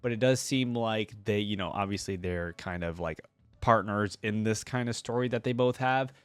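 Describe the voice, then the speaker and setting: A man's voice: fairly low pitch, moderate pace, clear, resonant tone, no echo. A man giving casual, informal commentary to viewers as a reaction host, rambling and thinking aloud. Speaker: gaming reaction YouTuber, host.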